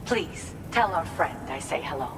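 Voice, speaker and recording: An actress playing a reserved woman researcher in a video game, explaining things in a measured, politely confiding way. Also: low voice, expressive, over music. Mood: candid, apologetic. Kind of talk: video game cutscene acting. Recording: long reverb, clear background noise.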